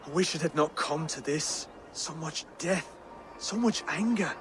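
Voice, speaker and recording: An actor playing a gentle, earnest and pious Saxon king in a dramatic video game. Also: on the high side, measured pace, background noise, natural voice. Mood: sorrowful, grateful. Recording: music behind the voice.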